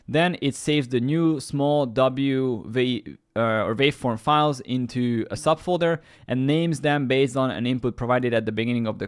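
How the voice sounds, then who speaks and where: A man whose first language is Hungarian walks viewers through a screen-share tutorial. His voice sits at an ordinary middle pitch. He rambles, restarts his sentences and leans on fillers as he explains. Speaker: YouTube tech host.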